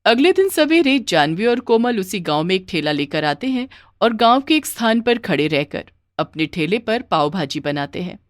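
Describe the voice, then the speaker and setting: A woman's voice: mid-range voice, big pitch swings, normal speed, no room sound. Woman narrator, dry close storytelling voice.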